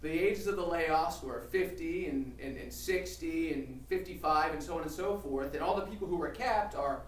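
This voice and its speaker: Man speaking distantly in a roomy hall. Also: fairly high pitch. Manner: conversational, halting, many restarts and fillers. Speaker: employment lawyer presenting a seminar. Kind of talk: seminar talk with a co-presenter. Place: seminar room. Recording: roomy, distant mic with faint room noise.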